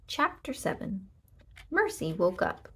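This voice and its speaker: A woman reads a children's story aloud at an unhurried pace. Her pitch moves up and down a great deal, and her voice has a husky, breathy grain. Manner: expressive, deliberate storytelling with emphatic short sentences. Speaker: storybook reader. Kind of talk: children's read-aloud narration.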